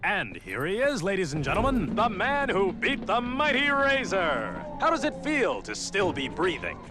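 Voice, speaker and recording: A brash, showy male race announcer from a video game. His voice is high and extremely animated, punching out his patter with music behind him. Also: moderate pace. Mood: excited, goading. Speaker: race commentator and interviewer.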